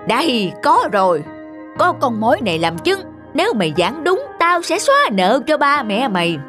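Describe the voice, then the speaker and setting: Middle-aged rich villain, greedy, pompous, scornful, blustering, wide pitch swings, cartoon fairy tale, music underneath. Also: male voice, huge pitch swings, moderate pace, exaggerated voice. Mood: scornful, scheming.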